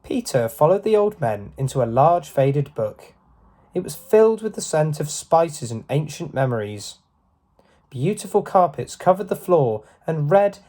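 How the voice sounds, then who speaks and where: A man narrates a children's storybook in a scripted read. His voice sits a little high and moves through a wide, animated range of pitch. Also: measured pace. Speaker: storybook reader.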